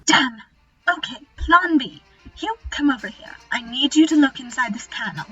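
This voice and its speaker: A woman's voice: sits high, expressive, normal speed, sharp tone, slightly rough, dull audio, soft background music, heavily acted. A woman playing a clever, resolute alien Time Lord who looks thirty, composed and explanatory, in a fan audio drama. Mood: urgent, resolute.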